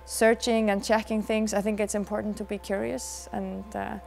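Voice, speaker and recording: Soft, flat Icelandic woman, gravelly edge. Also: medium pitch, faint music behind. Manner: soft-spoken, thoughtful, many pauses and fillers. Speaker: dancer and choreographer. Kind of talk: reflective interview answers.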